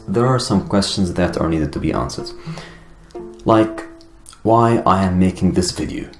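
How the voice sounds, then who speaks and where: Young adult male, Arabic accent, low-pitched, deliberate vlogger delivery, roomy sound, faint guitar bed. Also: darkish tone. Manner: earnest, deliberate, with pauses and fillers. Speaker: YouTuber. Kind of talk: personal video monologue. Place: Finland. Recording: echoey room with guitar music behind.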